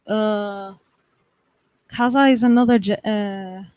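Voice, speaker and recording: A woman's voice: mid-range voice, fairly even intonation, takes it slowly, a little mellow, no room sound. A young woman from the United States hosting a live stream, chatting casually and reading viewer comments aloud, often laughing and restarting her sentences.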